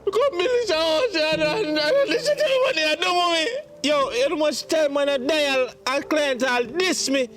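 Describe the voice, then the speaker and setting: A man with a Jamaican accent playing a distraught grown son in a domestic drama, pleading and reproachful, with halting, repetitive speech. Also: very high voice, fairly even intonation, fairly bright tone. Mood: upset, indignant. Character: distraught grown son reproaching his mother over money. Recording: faint background noise.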